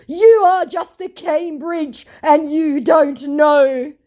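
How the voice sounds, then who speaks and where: A woman voicing a dim, boastful male online scammer with halting broken English and an exaggerated, slow delivery. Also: high-pitched, dark tone, voice pushed up. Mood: boastful, indignant.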